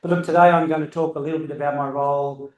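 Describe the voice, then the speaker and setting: A middle-aged man with a booming voice speaks as a guest speaker at a club meeting. His talk is loose and rambling, full of restarts. He sits a little high for a man, recorded from a distance in an echoey room. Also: little pitch movement, fast talker, a little mellow. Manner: loose, rambling, self-correcting. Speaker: guest speaker, landscape architect. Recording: roomy echo, distant camera.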